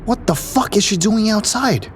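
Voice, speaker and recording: A man's voice: high voice, expressive, moderate pace, noisy background. A man playing a wry, pragmatic space crewman in an audio drama, dry and a little exasperated. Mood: alarmed.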